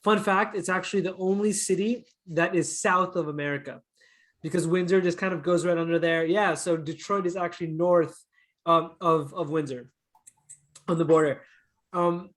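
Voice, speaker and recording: A man with a Canadian accent, chatting casually and telling stories as an interview guest, easygoing and quick to chuckle. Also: on the high side. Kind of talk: casual conversational storytelling.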